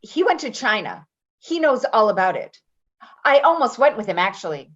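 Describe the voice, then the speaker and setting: An actress playing a brash, chatty, defensive caregiver in a naturalistic play reading, rambling and halting as she goes. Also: on the high side, big pitch swings, a bit bright, dull audio. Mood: eager, defensive. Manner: rambling, halting, with nervous laughter. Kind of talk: naturalistic readers theater acting.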